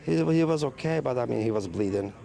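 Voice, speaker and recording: A man giving an unscripted eyewitness account in halting, short broken phrases. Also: medium pitch, lively intonation, gravelly, warm tone.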